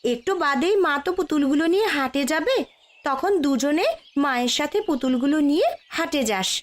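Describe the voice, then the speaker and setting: Woman voicing a high-pitched, caring cartoon elder sister. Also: expressive, brisk. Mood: chiding, kindly. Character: caring, cautioning young doll-making elder sister. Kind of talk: Bengali cartoon story voice acting.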